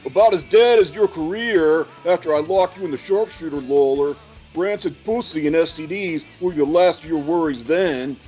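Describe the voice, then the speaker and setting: A man's voice: a little high, moderate pace, a little mellow, faint music behind, lightly acted, poor audio. A man playing an indignant, foul-mouthed and loyal pro wrestler in a comedic audio play. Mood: scornful.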